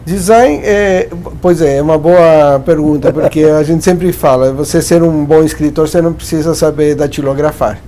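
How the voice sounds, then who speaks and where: A man's voice: on the high side, steady tone, normal speed, hardly any pauses, mellow, slight background noise. A man from Uruguay speaking with a Uruguayan Spanish accent, a professor answering interview questions in a thoughtful, explanatory way with frequent restarts. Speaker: branding professor and guest.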